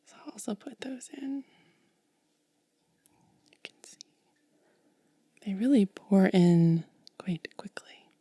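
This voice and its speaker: A woman speaking in a soft, breathy whisper as an ASMR host, gentle and unhurried, close to the microphone. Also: mid-range voice, expressive, no echo. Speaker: ASMR video creator and host.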